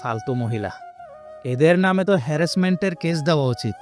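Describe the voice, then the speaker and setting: An actor playing an indignant, decent young bystander in an audio story, earnest and reproachful. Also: male voice, fairly high pitch, expressive, a little fast, faint music behind, close to an everyday voice. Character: indignant, decent young man defending strangers.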